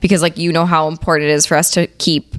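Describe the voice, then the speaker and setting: Young woman, somewhat low pitch, podcast co-host, casual conversational chat. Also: flat delivery, fast, fairly bright tone.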